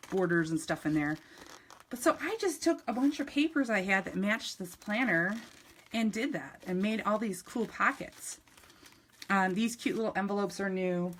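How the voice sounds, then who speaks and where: A woman's voice: lowish voice, fairly bright tone. Woman, middle-aged, upstate New York accent, chatty live craft demonstrator, faint clicks and handling noise behind. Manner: chatty, enthusiastic, many ums and restarts. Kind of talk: live-streamed craft demo.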